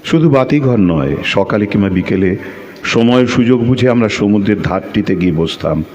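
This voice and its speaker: A man's voice: on the low side, animated, moderate pace, darkish tone, muffled recording, natural voice. An actor playing a shy, lonely young man who speaks hesitantly and repeats himself in an audio drama. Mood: wistful. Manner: hesitant, stammering, repeats phrases. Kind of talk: Bengali audio drama acting with narration. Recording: clearly noisy recording.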